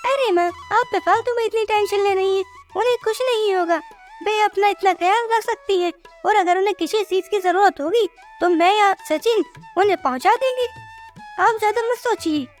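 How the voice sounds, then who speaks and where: A woman's voice: extremely high pitch, moderate pace. A woman playing a young, self-justifying daughter-in-law with a plaintive manner in a scripted moral story cartoon. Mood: dismissive. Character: young working daughter-in-law, dismissive, later distressed. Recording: music behind the voice.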